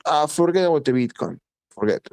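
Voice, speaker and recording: A man's voice: on the high side, sing-song, a little fast, a little mellow, dry recording. A man from Italy speaking English as a second language, blunt and emphatic, swearing and repeating points in a casual voice chat. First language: Italian. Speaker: voice chat participant.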